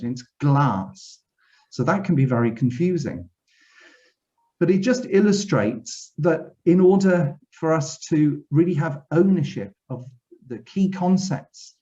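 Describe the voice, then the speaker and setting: Welsh man, formal speech, reflective, frequent restarts, mellow voice, dull webinar sound. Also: mid-range voice. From Wales. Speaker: university director general giving a webinar address. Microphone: video call.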